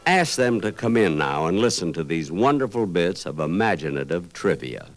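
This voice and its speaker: A low-voiced man hosts with conversational, measured storytelling. His intonation is lively, and faint music sits behind the scripted narration. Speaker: compilation host, narrator.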